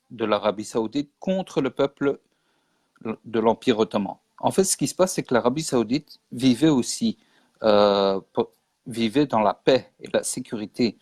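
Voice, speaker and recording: A man from Belgium speaks as a guest in a casual online interview, earnest and insistent, repeating his points for emphasis. His voice sits at an ordinary pitch and sounds dry and close. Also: a little mellow, no room sound. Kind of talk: casual interview explaining history.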